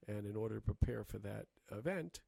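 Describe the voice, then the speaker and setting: A man co-hosting a casual podcast chat, talking in halting bursts with many restarts and fillers. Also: fairly low pitch, expressive, vocal fry, mellow, husky, no echo. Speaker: podcast co-host.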